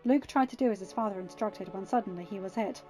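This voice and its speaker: A woman's voice: mid-range voice, fast talker, mellow, no room sound, faint music behind. A woman reading scripted fan fiction narration as an audiobook reader.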